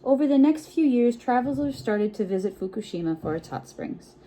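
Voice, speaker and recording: A woman reading a picture book aloud to children in an expressive, encouraging way, with chatty asides and frequent check-in questions. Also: on the high side, brisk, a little hiss or hum, low-quality recording. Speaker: children's storytime reader.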